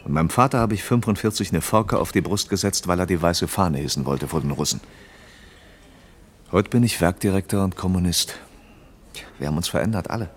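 A man acting in a German radio drama as an earnest, firm factory director, speaking in a measured, reasoning manner. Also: low voice, animated. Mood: firm, defensive.

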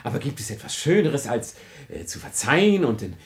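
An actor playing an earnest, zealous idealist in a radio play, exhorting and preachy. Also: male voice, mid-range voice, very animated, fairly quick, lightly acted. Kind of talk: classic German radio play acting.

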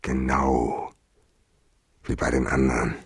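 Radio crime drama: a hard-boiled detective, very low and slow, blunt and cynical. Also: male voice, completely flat delivery, breathy edge, no echo, clean studio sound, heavily acted. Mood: bitter, resolute.

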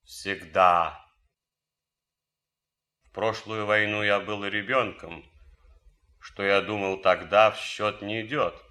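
An actor playing an idealistic German officer and composer in a radio drama, speaking earnestly, deliberately and theatrically. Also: male voice, on the low side, fairly even intonation, sharp tone. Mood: wistful.

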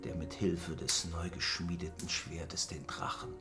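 A calm, knowing god disguised as a wanderer in a fantasy audio drama. His very low voice is breathy and measured, full of portent. Music plays clearly underneath. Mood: grave, knowing. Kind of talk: fantasy radio drama acting.

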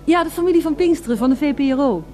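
A woman's voice: high voice, very fast, mellow, soft background music, close to an everyday voice. An actress playing an eager, polite female radio reporter in a radio drama, with formal, florid and emphatic delivery. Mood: polite, flustered.

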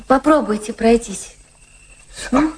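An actress playing a wealthy high-society lady, concerned and kind but firmly insistent, in a radio play. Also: takes it slowly, a little hiss or hum. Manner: solicitous, firmly commanding.

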